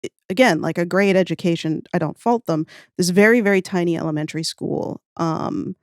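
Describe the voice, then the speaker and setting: A woman's voice: fairly low pitch, fairly quick, a little mellow, no room sound, studio quality. An American woman from New Orleans chatting casually as a podcast guest, with an informal, self-deprecating, hedging way of talking. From New Orleans, United States. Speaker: podcast guest, audio fiction writer. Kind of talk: casual interview chat, reminiscing.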